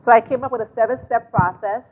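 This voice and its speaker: A Haitian American woman from New York, a guest life coach speaking earnestly in measured, spiritual phrasing on a talk show. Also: on the high side. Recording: tinny phone line with noise.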